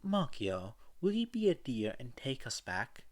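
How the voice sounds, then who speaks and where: A man's voice: mid-range voice, big pitch swings, measured pace, voice pushed up. A man voicing a stern, motherly middle-aged woman who is politely menacing. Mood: sweetly menacing.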